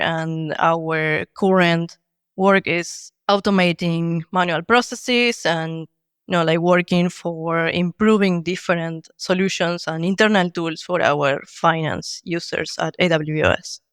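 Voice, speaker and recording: Argentine woman, design lead guest, explanatory and clear, dry close sound. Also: fairly low pitch, no echo. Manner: explanatory, many fillers like "like". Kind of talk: podcast interview answers.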